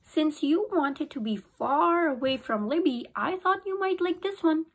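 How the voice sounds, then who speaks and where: A woman reading aloud, voicing a patient father who gently coaxes his daughter. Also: high voice, lively intonation, measured pace, pitched above the performer's own voice. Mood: calm. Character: calm reassuring father.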